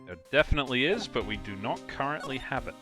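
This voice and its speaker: Man playing an animated, earnest defense lawyer. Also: medium pitch, fast, faint music behind. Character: earnest, dogged male defense lawyer with wry asides. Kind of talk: live fan dub of a visual novel game.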